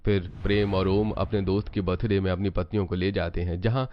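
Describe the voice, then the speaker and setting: A man's voice: deep voice, flat delivery, fairly quick, muffled recording, slight background noise. A man reading scripted storybook narration. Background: background music.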